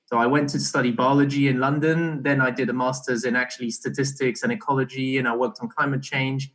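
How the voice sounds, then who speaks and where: A young man being interviewed, explaining casually in a halting way and often restarting his phrases. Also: mid-range voice, flat delivery, a bit bright. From Belgian-born, partly raised in Barbados. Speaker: interview guest, startup co-founder.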